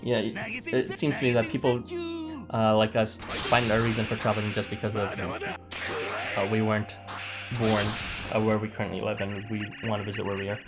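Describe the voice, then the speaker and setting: A young man, streaming a game and chatting casually with viewers in a halting way, full of fillers and little chuckles. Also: fairly low pitch, level tone. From Canada. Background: game music.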